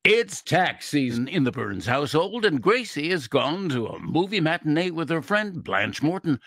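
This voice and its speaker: A man's voice: fairly high pitch, sing-song, moderate pace, vocal fry, a bit bright, heavily acted. A man playing an upbeat announcer on a 1940s radio comedy show.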